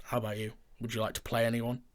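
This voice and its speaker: A man's voice: medium pitch, monotone, fast talker. A man with a British accent speaking casually, as in a discussion about his creative work in a studio. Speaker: audio drama writer and actor in a podcast discussion. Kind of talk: casual chat. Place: indoor studio.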